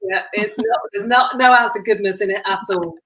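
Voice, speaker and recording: A woman hosting a podcast, who chats and laughs easily with her guest and says she has a deep voice. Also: steady tone, brisk, a little hiss or hum. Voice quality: self-described deep voice. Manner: chatty and warm, laughs often. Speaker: podcast host. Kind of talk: casual interview chat. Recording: roomy echo.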